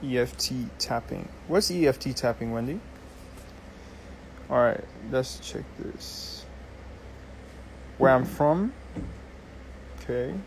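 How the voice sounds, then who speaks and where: A man's voice: medium pitch, slow, creaky, long pauses, background noise. A young man from Germany, hosting a casual live Q&A and explaining things loosely with many ums and restarts. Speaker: host. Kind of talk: casual live Q&A, answering viewer questions.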